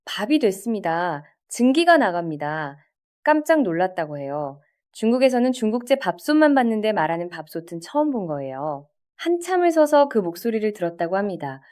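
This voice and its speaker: A woman narrator tells a scripted story with a lively, animated pitch. She keeps it conversational and speaks straight to the listener, as a storyteller would. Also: a little fast. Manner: conversational, addresses listeners with rhetorical questions.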